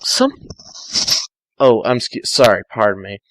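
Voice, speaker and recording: A man's voice: on the low side, measured pace, dry recording, rough recording. A man giving an amateur dramatic story reading, stumbling, correcting himself and dropping in asides. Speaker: fan fiction reader.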